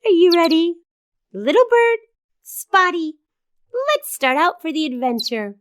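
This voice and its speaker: A woman voicing a cheerful young girl who leads a children's cartoon adventure, speaking in an encouraging, teacherly way with pauses. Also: extremely high pitch, very animated, full character voice. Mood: bright, encouraging. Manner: slow, clear, encouraging teaching delivery with pauses. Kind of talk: children's educational cartoon, addressing young viewers.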